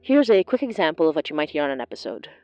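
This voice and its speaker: Low, animated woman reading a podcast promo. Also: rapid-fire, no room sound, lo-fi sound, faint music behind. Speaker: podcast creator.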